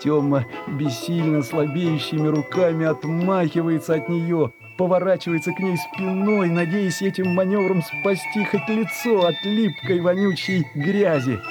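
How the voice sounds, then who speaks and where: A man narrating a story for an audio production, expressive and dramatic, with deliberate pauses. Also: high voice. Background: music.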